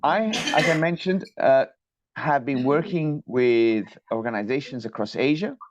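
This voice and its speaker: A man from Colombia, giving a measured, polite academic lecture over a video call. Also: medium pitch, a crowd in the background. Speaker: guest lecturer, structural engineer. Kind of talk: online lecture presentation. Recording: dull, dry video call audio.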